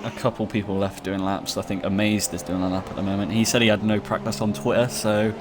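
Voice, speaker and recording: A man co-commentating a sim race in relaxed, casual chat. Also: lowish voice, quick pace, noisy background. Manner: laid-back, laughs along.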